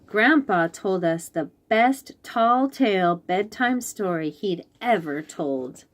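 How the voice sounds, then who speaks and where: A woman hosts a read-aloud for little kids with a very lively, swooping delivery at a medium pitch. She reads expressively and breaks off to point out details in the pictures and ask the listeners questions. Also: takes it slowly. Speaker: children's read-aloud host. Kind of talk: animated picture-book reading with asides to young children.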